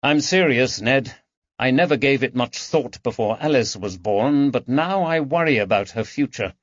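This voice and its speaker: A man voicing an earnest, thoughtful gentleman detective with educated, measured speech in an audiobook. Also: medium pitch, expressive, no echo, lightly acted. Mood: earnest, worried. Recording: dull lo-fi recording.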